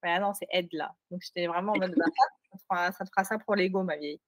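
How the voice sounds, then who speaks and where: A woman's voice: fairly low pitch, quick pace, no room sound. Emphatic joking French woman, dry sound. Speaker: podcast co-host. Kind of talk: casual chat. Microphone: remote recording.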